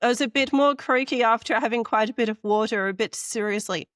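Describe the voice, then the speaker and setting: A woman's voice: a little high, brisk, fairly bright tone, dry recording, clean studio sound. A woman hosting a podcast interview who thinks aloud and corrects herself as she goes, her voice worn and going. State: losing her voice. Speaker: podcast host. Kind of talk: conversational interview.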